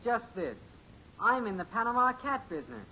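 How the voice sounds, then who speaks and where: Eager, bright-voiced teenage boy. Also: extremely high pitch, moderate pace, exaggerated voice. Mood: eager, proud. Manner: earnest, excitable, slangy. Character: eager, naive, stubbornly optimistic teenage son. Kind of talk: 1950s radio sitcom acting. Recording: muffled, hissy old radio recording.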